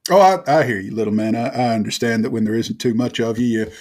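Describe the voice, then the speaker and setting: A man's voice: mid-range voice, fast, darkish tone, close to an everyday voice. A man playing an easygoing, opinionated water genasi monk in a tabletop game, musing at length in a folksy way. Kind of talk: improvised tabletop role-play.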